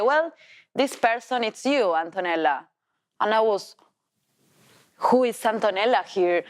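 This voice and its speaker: A woman in her thirties with an Argentine accent, a Spanish speaker telling her story as a tango teacher in halting English with many restarts. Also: mid-range voice. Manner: non-native English, halting restarts, laughs while telling. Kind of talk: personal storytelling.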